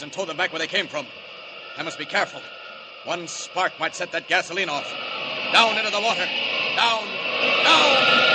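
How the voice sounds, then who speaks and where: An actor playing a brisk, confident reporter with a secret heroic side, clipped and decisive in 1940s radio serial style. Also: male voice, on the high side, close to an everyday voice. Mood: bold, determined. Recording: noisy old radio recording.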